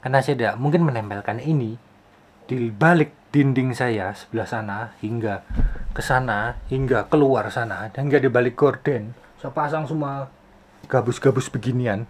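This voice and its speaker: A man's voice: medium pitch, normal speed, slight background noise. A man giving a casual tutorial as a video host, explaining things with rhetorical questions and repeated phrases. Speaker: YouTube host, film soundman.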